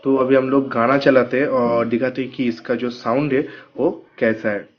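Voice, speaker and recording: A man's voice: medium pitch, flat delivery, moderate pace, highs cut off, slight background noise. A man giving a casual, step-by-step hands-on product tutorial, often checking that the listener follows. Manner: explains step by step with frequent check-in words. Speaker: product reviewer vlogger.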